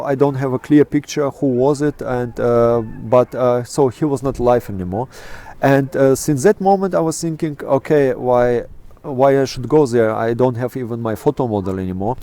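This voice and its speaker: A middle-aged man with a Russian accent and a dark, mellow tone, speaking English as a second language. He speaks haltingly, with many "uh"s and restarts, as he answers an interviewer outdoors in a national park. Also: mid-range voice. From Kazakhstan. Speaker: interviewee, astrophotographer. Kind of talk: casual interview talk.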